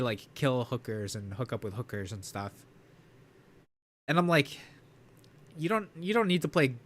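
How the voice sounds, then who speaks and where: A man streams from home, his nose congested and sniffly. He rambles casually to his viewers, laid-back and quick to swear. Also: mid-range voice. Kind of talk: casual live-stream chat.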